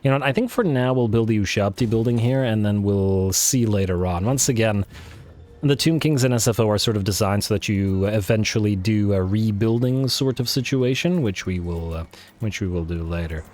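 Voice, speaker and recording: Game commentator, male, lowish voice, hoarse from overuse, muses aloud with many fillers. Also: moderate pace, a little mellow. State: losing his voice. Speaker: let's play host. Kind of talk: casual strategy game commentary.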